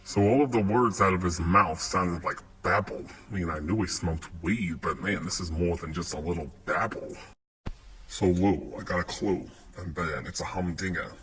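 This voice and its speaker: A man's voice: low voice, normal speed, fairly bright tone. A man playing a hardboiled noir detective, telling his case in wry, dramatic rhyme. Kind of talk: rhyming film-noir parody narration. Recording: noisy homemade phone clips.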